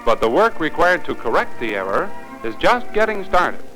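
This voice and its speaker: An American man reading formal, deliberate, instructive narration for a 1950s training film. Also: on the high side, big pitch swings, pushed and bright, rough recording. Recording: 1950s film soundtrack, music behind.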